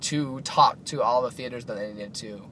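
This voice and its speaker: A man hosting a podcast while driving, his voice raspy from being sick, chatting casually and rambling with lots of fillers. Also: medium pitch, moderate pace, fairly bright tone. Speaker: podcast host. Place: inside a moving car. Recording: road noise in a car.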